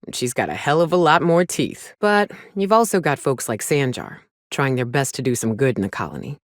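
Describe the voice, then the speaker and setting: A woman's voice: very deep voice, expressive, a little fast, dry recording, clean studio sound. An actress playing a jaded, hard-drinking frontier mercenary woman in a video game, blunt, sardonic and defiant, with salty phrasing. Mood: grim, reflective. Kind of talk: video game character dialogue.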